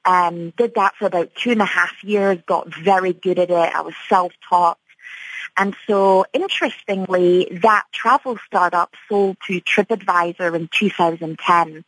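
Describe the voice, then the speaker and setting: A woman's voice: on the low side, steady tone, measured pace, a bit bright, no room sound, low-quality recording. A woman from Scotland, answering as a guest in a radio interview in an upbeat, chatty way with many fillers. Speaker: tech company CEO, guest.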